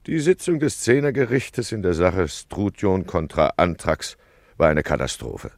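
Casting: a peace-loving, plaintive judge, droll and confiding, extremely low and creaky, radio monologue in dry sound. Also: male voice, animated, a little slow, no echo, heavily acted. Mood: rueful. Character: worried, peace-loving male town judge, complacent and plaintive. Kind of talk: German radio play monologue.

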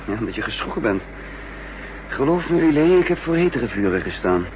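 A man's voice: mid-range voice, very animated, brisk, dull audio, natural voice. An actor playing a secret agent who narrates his own mission in an urgent, exasperated manner, in 1960s radio drama style. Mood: tense, controlled. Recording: hissy, humming 1960s radio recording.

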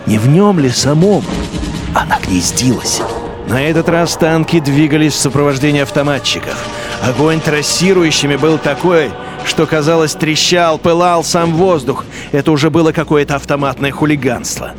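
An actor playing an anguished, defeated young army lieutenant, brooding in halting phrases in a radio play. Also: male voice, a little high, over music, close to an everyday voice. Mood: anguished, disbelieving, then tense. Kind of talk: radio play inner monologue.

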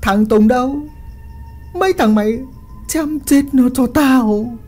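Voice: medium pitch, sing-song, slow pace, warm tone, soft background music, pitched above the performer's own voice. A storyteller voicing a cruel, arrogant young master who barks orders at his servants. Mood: commanding. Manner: bossy, barking orders.

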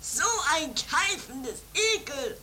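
Squeaky, whiny, hapless comedy man. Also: very animated, takes it slowly, heavily acted. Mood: stubborn, tearful. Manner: stammering, hapless. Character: Heinz, whiny, dim, stubborn, melodramatic man. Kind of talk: improvised trash comedy radio play. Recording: very noisy amateur 1980s tape.

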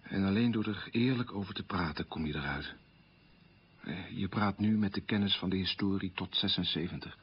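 A middle-aged man weighed by inherited guilt. His low voice is rough and husky, and he confesses earnestly and reflectively. It is radio drama, captured on a dull old recording. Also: normal speed. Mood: remorseful. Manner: earnest, self-reproaching, reflective. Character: guilt-ridden middle-aged son of a wartime collaborator.

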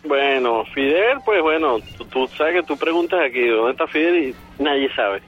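A man's voice: medium pitch, lively intonation, normal speed, faint music behind. A man calling in by mobile phone, rambling with lots of fillers. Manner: rambling, many fillers like "bueno" and "o sea". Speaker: phone-in guest. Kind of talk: casual chat by phone. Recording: dull phone line.

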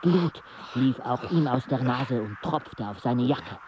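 Dazed mountain companion, deadpan, mid-pitched, radio play, noisy ambience behind. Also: male voice, takes it slowly. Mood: dazed, dry. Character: dazed expedition companion narrating a mountain climb. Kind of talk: radio play narration and dialogue.

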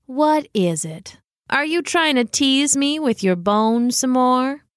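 Woman voicing a slow, purring, suspicious she-cat. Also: high voice, expressive, no echo, clean studio sound. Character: suspicious, aloof tatty female orange cat. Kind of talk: storybook character voice.

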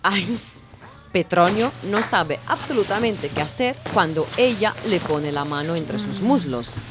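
A woman playing a wry, excitable narrator in an audio drama, telling the tale like a running commentary. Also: lowish voice, a little slow. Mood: wry narrating. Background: music.

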